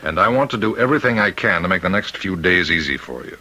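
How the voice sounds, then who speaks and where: An actor playing a firm, formal, businesslike prison warden in an old-time radio drama. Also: male voice, sits low, expressive, fast talker, sharp tone, exaggerated voice. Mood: firm, impatient. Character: brisk, dismissive but dutiful male prison warden.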